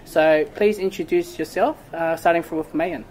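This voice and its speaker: Halting man interviewing classmates. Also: fairly high pitch, steady tone, darkish tone. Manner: halting, searching for words. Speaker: interviewer, student.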